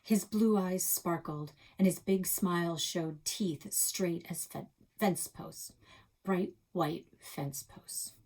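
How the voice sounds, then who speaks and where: A woman reading a children's novel aloud as a storytelling host, with expressive delivery. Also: on the low side, takes it slowly. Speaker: library read-aloud host.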